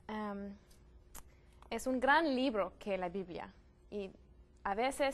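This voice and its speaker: A woman's voice: on the high side, fairly bright tone. A woman from Canada, a Bible worker, speaking Spanish as a with awkward grammar on a discussion panel. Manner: non-native Spanish with odd grammar, thoughtful.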